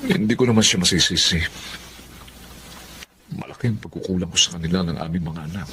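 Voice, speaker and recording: A man's voice: sits low, brisk, sharp tone, slight background noise. A Filipino man acting as a humble, remorseful husband and ex-convict in a radio drama. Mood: worried.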